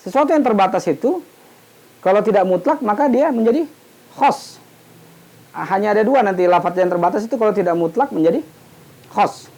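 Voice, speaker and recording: A man's voice: high voice, lively intonation, a little fast, long pauses, darkish tone, rough recording. A man giving an informal, explanatory religious lesson, posing questions and answering them, often repeating half-said words to finish them. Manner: didactic, asks questions then answers them. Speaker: Islamic studies teacher.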